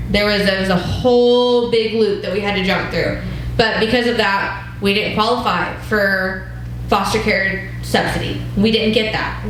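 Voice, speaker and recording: A woman's voice: medium pitch, slight background noise. A woman in her thirties leading a church women's group, earnestly sharing a personal testimony in a conversational way, with frequent ums. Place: church hall. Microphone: wireless mic that cuts out. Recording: very echoey church hall.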